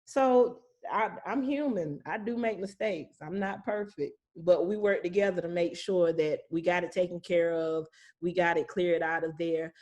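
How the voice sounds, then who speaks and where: A woman's voice: fairly low pitch, moderate pace, a little mellow. A woman speaking as a guest property manager, explaining conversationally with anecdotes in a plain-spoken, folksy way.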